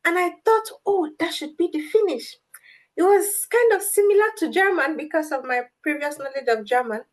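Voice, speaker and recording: A woman's voice: extremely high pitch, moderate pace. A young woman with a Nigerian accent, a guest in an interview, earnest and reflective with light amusement. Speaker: guest, language advocate. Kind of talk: interview answers.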